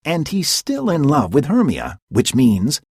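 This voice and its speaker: Mischievous male sprite, breathy, giggly asides, bouncy pitch, voiced by a man. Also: huge pitch swings, unhurried, darkish tone, no echo, studio quality, heavily acted. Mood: amused.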